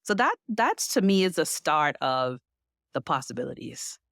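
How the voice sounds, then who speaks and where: A woman in her forties from the Bahamas with a Bahamian accent, a podcast guest telling her story in a lively way and laughing often. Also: low-pitched, moderate pace, vocal fry, no echo, clean studio sound. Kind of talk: casual interview chat.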